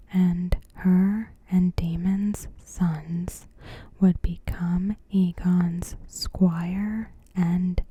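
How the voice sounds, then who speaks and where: A woman narrates in a hushed ASMR whisper, extremely slow and careful. Her voice is very soft and mellow, full of breath, as she reads a scripted recap without any hurry. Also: lowish voice, husky. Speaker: ASMR creator.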